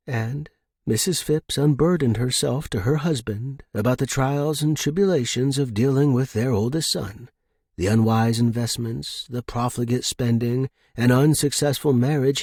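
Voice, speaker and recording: A man narrating scripted suspense fiction in a measured, dramatic manner, as an audiobook reader. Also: medium pitch, a little mellow, dry recording, studio quality.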